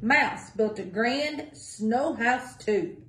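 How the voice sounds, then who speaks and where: A middle-aged woman reading a picture book aloud to young children in a deliberate sing-song way. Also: on the high side, lively intonation, a bit bright. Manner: sing-song, deliberate, asks listeners questions. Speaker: library story time reader. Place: small indoor room with block walls. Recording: echoey small room.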